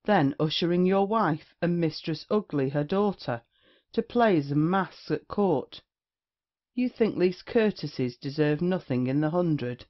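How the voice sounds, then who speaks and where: An actor playing a brazen, witty rake in a period stage comedy, declaiming with mocking insolence. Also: male voice, a little high, lively intonation, a little slow, muffled recording. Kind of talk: theatrical period comedy acting.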